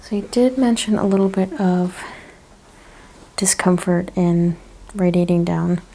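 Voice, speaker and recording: A woman speaking softly, often in a breathy whisper, gently and carefully explaining each step as a chiropractor in an ASMR exam roleplay. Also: on the low side, level tone, measured pace. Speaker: ASMR host playing a chiropractor examining a patient.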